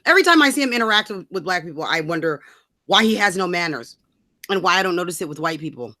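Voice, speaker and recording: A woman's voice: fairly low pitch, lively intonation, quick pace, sharp tone, no echo, studio quality. A woman co-hosting a casual talk show, speaking bluntly and colloquially with opinions. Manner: blunt, colloquial, swears freely.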